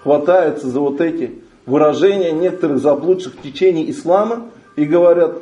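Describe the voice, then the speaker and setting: A man's voice: a little high, a little fast, warm tone, reverberant, dull audio, faint music behind. A man teaching a religious lesson, reading a passage aloud and translating it phrase by phrase. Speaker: Islamic teacher.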